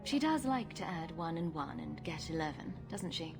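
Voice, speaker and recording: An actress playing a sarcastic, cool-headed rogue champion in a video game, with a dry manner. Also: female voice, low voice, expressive, moderate pace, creaky, loud music behind the voice. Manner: dry, sardonic. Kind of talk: video game cutscene acting.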